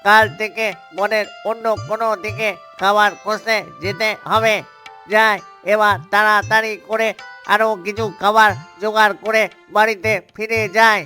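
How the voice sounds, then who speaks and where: A man voicing a patient, hardworking cartoon father duck, an earnest and even-tempered provider. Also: high voice, normal speed, faint music behind. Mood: weary, concerned.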